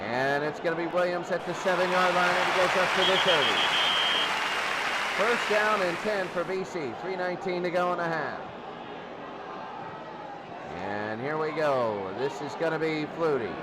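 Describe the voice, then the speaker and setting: A man with a high voice gives excitable play-by-play football commentary in a classic radio style. His calls swell with the action, over loud background noise. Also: moderate pace, pauses often, over a noisy crowd. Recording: noisy recording with loud background hiss.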